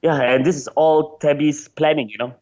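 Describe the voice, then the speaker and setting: A man's voice: a little high, fairly even intonation, moderate pace, darkish tone, dull audio. A man being interviewed as a game designer, talking casually and chattily, often repeating words as he searches for phrasing.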